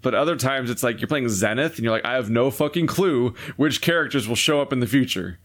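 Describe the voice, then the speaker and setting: A man's voice: medium pitch, animated, a little fast, fairly bright tone. A man in casual podcast chat, rambling and laughing often about game voice work.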